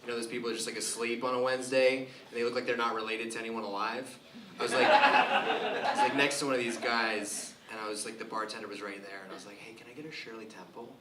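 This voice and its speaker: A stand-up comedian from Romania performing a live club set, delivering dry set-ups and jumping into loud, shrill voices for his punchlines. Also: fast, recorded from a distance. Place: live club show with audience laughter. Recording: roomy club sound from afar, audible noise.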